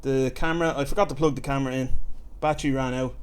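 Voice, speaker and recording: A man's voice: mid-range voice, fairly even intonation, quick pace, clear, resonant tone. Man, podcast host, self-deprecating and joking, mid-range voice. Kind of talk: casual chat.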